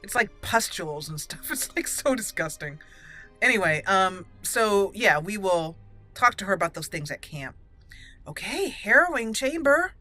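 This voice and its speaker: A woman's voice: mid-range voice, sing-song, normal speed, sharp tone, faint music behind. A woman hosting a roleplay let's play, chatty and moving between commentary and in-character lines. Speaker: gaming host.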